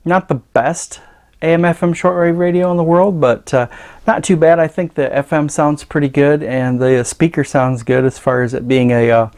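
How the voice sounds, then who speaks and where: A man reviewing a radio gadget in a casual, halting, understated hands-on demo. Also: on the high side, little pitch movement, darkish tone.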